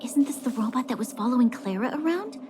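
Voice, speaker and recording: A woman voicing a composed, principled young female warrior in a video game, with measured, formal phrasing. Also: fairly high pitch, expressive, noisy background, natural voice. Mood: concerned, watchful. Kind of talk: video game cutscene voice acting.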